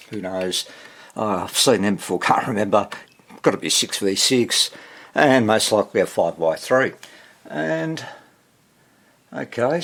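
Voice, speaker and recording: Man, rough creaky voice, unhurried delivery, casual repair host, hesitant, muttering to himself. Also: on the low side, a bit bright. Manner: hesitant, thinking aloud, muttering self-questions. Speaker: radio repairer and video host. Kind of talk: casual unscripted repair walkthrough.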